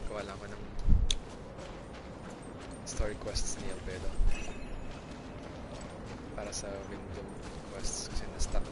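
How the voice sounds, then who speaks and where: A man's voice: lowish voice, completely flat delivery, a little slow, pauses often, heavy background noise. A man with a Filipino accent chatting casually as he livestreams a game. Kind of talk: casual chat while gaming. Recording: loud game sound and music behind.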